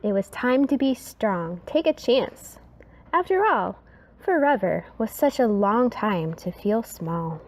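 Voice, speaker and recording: A woman reading a rhyming children's story aloud in an expressive, dramatic way, sometimes dropping to a hush. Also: mid-range voice, slow.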